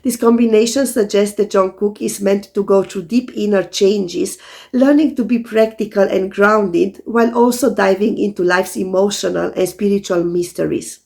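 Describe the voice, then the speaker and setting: Hoarse lady astrologer, gravelly and scratchy, calm steady explaining like a scripted video. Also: mid-range voice. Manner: calm, methodical explaining to viewers. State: hoarse from a sore throat.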